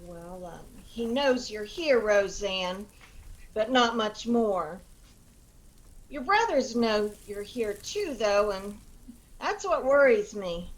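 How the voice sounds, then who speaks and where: A woman's voice: medium pitch, expressive, slow, rough recording. A woman playing a kindly, watchful older aunt in a radio drama. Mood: worried.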